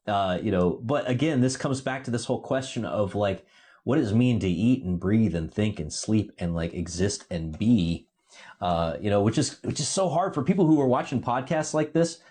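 A man with a low voice reflects aloud during a relaxed podcast conversation. He muses and circles his ideas, with frequent fillers and the odd chuckle. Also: normal speed. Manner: reflective, musing, many fillers. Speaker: podcast co-host. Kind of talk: casual discussion.

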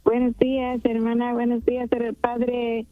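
Mujer que llama a la radio, habla entrecortada y cariñosa, sonido de teléfono. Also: female voice, on the high side, completely flat delivery, dry recording. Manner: halting, warm greetings and prayer requests. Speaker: radio caller. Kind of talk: phone call to a radio show. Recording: tinny phone line.

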